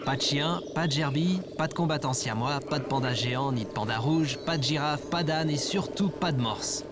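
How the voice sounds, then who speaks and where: A man's voice: on the high side, brisk, very noisy, close to an everyday voice. A man playing a lazy, petty, self-proclaimed ruler of Earth in a sci-fi comedy audio drama, pompous, whiny and bossy. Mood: grumbling. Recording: music under the voice.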